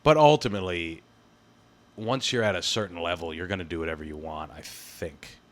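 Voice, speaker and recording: A man's voice: low voice, brisk, slight background noise. A young adult man hosting a podcast, chatting in a laid-back way and laughing easily. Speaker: podcast host. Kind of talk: casual chat.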